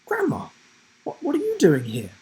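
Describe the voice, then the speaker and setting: Man doing a high, hesitant schoolboy. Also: huge pitch swings, moderate pace, dark tone, heavily acted. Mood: surprised. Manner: stammering, hesitant. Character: shy, hesitant schoolboy grandson in a children's storybook.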